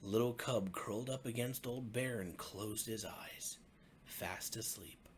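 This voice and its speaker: A man, a pastor, narrating a bedtime story for children in a gentle, expressive way with deliberate phrasing. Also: on the low side, a little hiss or hum.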